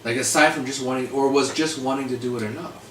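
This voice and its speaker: A man's voice: medium pitch, fairly even intonation, fast talker. A man who hosts the chat, asking questions and stuttering now and then. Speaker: host. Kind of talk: casual chat. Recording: echoey room, distant mic, noisy.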